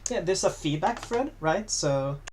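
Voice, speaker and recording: A man commentating a live online game match, relaxed and joking as he explains the format. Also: high-pitched, unhurried, slight background noise. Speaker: esports commentator.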